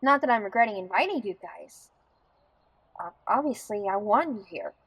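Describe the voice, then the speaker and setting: A woman plays a young bride-to-be in an audio drama with a mid-pitched voice. She is blunt and practical, grumbling wryly in an informal, no-nonsense way. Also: lively intonation, normal speed, fairly bright tone, slightly rough, low-quality recording. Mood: exasperated, wry.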